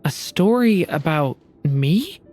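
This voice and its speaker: A woman playing a gentle, earnest young dragonborn boy in a video game, soft-spoken and wistful. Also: deep voice, lively intonation, slow, music in the background. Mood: wistful, thoughtful.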